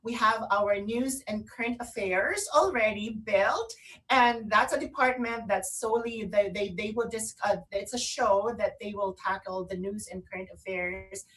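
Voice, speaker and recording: A woman with a Filipino accent co-hosting an online radio show, chatting casually and promotionally in an excitable, gushing way. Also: medium pitch, moderate pace, far from the mic, poor audio. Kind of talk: casual promotional chat between co-hosts.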